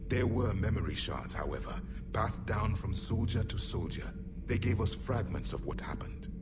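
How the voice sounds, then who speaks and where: An actor playing a proud, stern ancient alien commander in a video game, solemn and clipped, with formal phrasing. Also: male voice, lowish voice, animated, moderate pace, very noisy, natural voice. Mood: solemn, remembering. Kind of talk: video game character dialogue. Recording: distant voice under loud game music.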